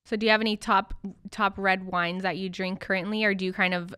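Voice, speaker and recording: A woman's voice: mid-range voice, little pitch movement, brisk, pushed and bright, clear, resonant tone, no room sound, clean studio sound. A woman hosting a podcast and interviewing a guest in a casual, conversational way.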